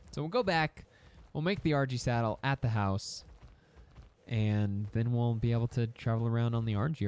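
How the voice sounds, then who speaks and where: A young man casually commentating a survival game, rambling to his in-game pets and his audience. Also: medium pitch. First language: English. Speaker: gaming YouTuber. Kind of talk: casual let's-play commentary.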